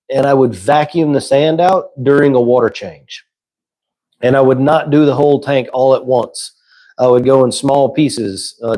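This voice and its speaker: Man, hoarse voice, casual livestream host, relaxed chat, middle pitch. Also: low-quality recording. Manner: relaxed, conversational, answers chat questions. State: hoarse, losing his voice.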